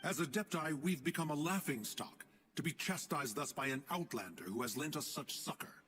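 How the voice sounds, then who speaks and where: A man's voice: a little high, lively intonation, normal speed, a bit bright, slight background noise. A man voicing a stern, lofty immortal guardian with formal, archaic phrasing in a video game. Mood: affronted. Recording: clear game music under the voice.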